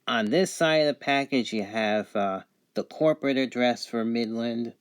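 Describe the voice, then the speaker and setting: Male product reviewer, medium pitch, unscripted and matter-of-fact, listing features haltingly one by one. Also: clear, resonant tone.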